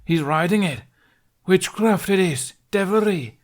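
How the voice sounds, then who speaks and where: Superstitious burly villager by a male narrator, panicked exclamations, raised and swooping pitch. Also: lively intonation, unhurried. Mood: horrified. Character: burly, alarmed superstitious Saxon village man. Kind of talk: audiobook character voice.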